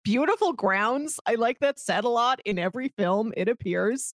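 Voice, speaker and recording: A woman co-hosting a podcast in a casual film discussion, chatty and opinionated in an animated way. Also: fairly high pitch, normal speed, dry recording, clean studio sound. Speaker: podcast co-host.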